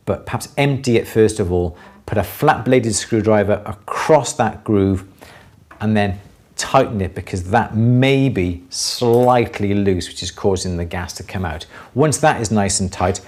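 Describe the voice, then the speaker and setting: A man's voice: lowish voice, normal speed, a little mellow. A middle-aged man with a Welsh accent, explaining hands-on craft work casually to a live audience and repeating words for emphasis.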